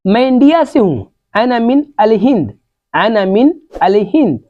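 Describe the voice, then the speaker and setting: A woman teaching Arabic phrases in a slow, repetitive vocabulary lesson, saying each phrase several times. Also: a little high, sing-song. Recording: dull, lo-fi sound.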